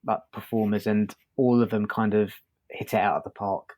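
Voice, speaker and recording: A man's voice: lowish voice, level tone, moderate pace, a little mellow. A man hosting a podcast, talking through a review in a casual, hesitant, circling way.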